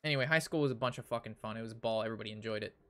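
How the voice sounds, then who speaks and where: A man's voice: on the low side, racing, bright, forceful tone. A young man telling ranting, casual stories to his stream chat, swearing freely and repeating himself with exasperation. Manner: profane, exasperated, repeats phrases. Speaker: game streamer. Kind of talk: casual ranting storytelling to stream chat during card game.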